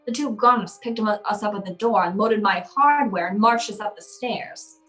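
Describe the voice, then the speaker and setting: A woman's voice: medium pitch, moderate pace, a bit bright, soft background music, lightly acted. A woman playing a tough, terse hardboiled private eye in an old-time radio detective play. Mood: dry narration. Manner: terse, no-nonsense.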